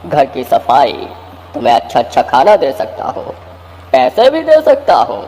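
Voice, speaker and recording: A man's voice: mid-range voice, huge pitch swings, normal speed, a little mellow, big-room sound. A man voicing a kindly, cheerful magical talking appliance in a children's cartoon, reassuring and eager to help. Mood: cheerful, boastful. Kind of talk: Hindi children's cartoon story voice acting.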